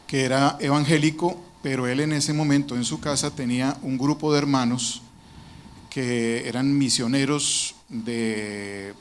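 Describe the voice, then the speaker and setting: A man stands before a congregation and recounts his life in an earnest, reflective way. His voice sits at an ordinary middle pitch. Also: slight background noise. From Colombia. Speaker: church member giving a testimony. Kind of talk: personal testimony in church.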